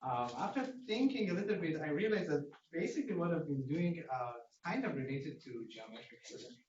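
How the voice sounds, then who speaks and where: A man from Iran with an Iranian accent, giving an academic seminar talk with halting, hesitant phrasing. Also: a little high, animated, a little mellow. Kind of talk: academic lecture. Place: indoor seminar room at a lectern. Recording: echoey room, distant mic, dull noisy feed.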